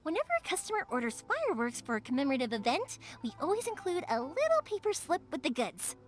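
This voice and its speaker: A cheerful young woman fireworks maker with a bright, high voice that swings up and down lively. She sounds friendly and reassuring, with game music playing behind her. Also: quick pace. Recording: game audio with background music.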